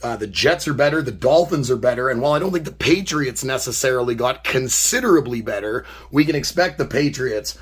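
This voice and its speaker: A man's voice: medium pitch, expressive, brisk, hardly any pauses, slightly rough. A man from Canada who is losing his voice, hosting a card opening with wry, teasing banter. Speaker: card break host. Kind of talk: casual chat.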